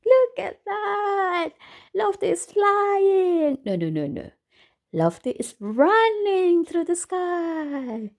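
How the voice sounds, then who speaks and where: Lady doing kids storytime, slow and super expressive, voice swings up and down, asks the listeners stuff. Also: very high voice. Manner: expressive, exclaiming, engaging listeners with questions. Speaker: storytime reader for a children's book club. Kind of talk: animated children's read-aloud with commentary to listeners.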